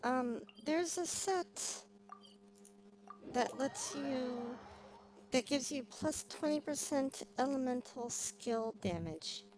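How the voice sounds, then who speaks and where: A woman livestreaming a game, chatting casually and thinking aloud in a halting, musing way. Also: high-pitched, animated, a little mellow, rough recording. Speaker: livestreamer playing a video game. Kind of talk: casual gameplay stream chat. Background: music.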